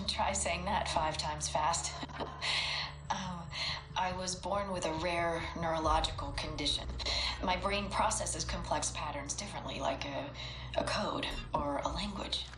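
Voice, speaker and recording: A woman's voice: lowish voice, unhurried, distant mic, natural voice, low-quality recording. A woman playing an earnest, haunted scientist, a brilliant linguist, in a dramatic video game cutscene monologue. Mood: amused, modest. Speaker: scientist narrating research logs. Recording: loud music under the voice.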